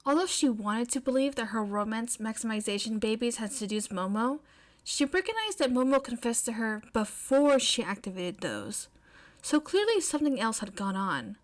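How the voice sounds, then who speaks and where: A woman's voice: a little high, big pitch swings, normal speed. A woman reading scripted fiction as a story narrator. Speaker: podfic narrator.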